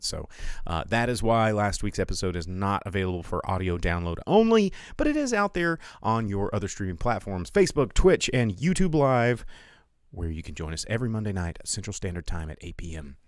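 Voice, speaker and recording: A man's voice: low voice, vocal fry, dry recording, clean studio sound. An American man hosting a casual live stream podcast, chatting in a rambling, informal way that often interrupts itself. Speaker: podcast host.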